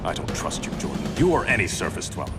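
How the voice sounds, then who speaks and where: A man's voice: low voice, very animated, fast. A man playing a proud, stern, distrustful undersea warrior king in a video game, imperious and commanding. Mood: proud, hostile. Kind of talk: video game cutscene acting. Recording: loud cinematic music behind. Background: loud game music.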